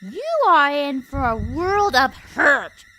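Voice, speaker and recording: A woman's voice: high voice, big pitch swings, slow, pushed and bright, slight background noise, full character voice. A woman voicing a female cartoon pony with emphatic, dramatic delivery in an amateur fan-fiction horror drama. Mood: furious, threatening.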